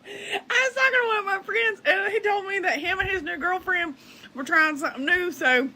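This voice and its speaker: Giggly high-voiced woman telling a joke. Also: a little fast, sharp tone. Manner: giggly storytelling, breaking into laughter. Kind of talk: stand-up comedy bit.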